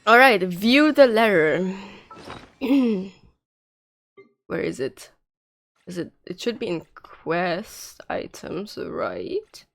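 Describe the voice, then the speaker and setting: A woman's voice: mid-range voice, very animated, very slow, pauses often. A woman livestreaming a game with casual, informal chat and commentary, her nose congested and her voice slightly nasal. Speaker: game streamer.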